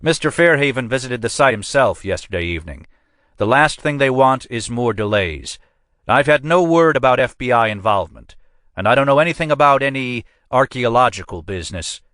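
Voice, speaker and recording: A man voicing an anxious middle-aged male police captain trying to smooth things over. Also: mid-range voice, lively intonation, a little fast, fairly bright tone, no room sound, studio quality, pitched above the performer's own voice, natural voice. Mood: placating. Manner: placating, nervous, officious.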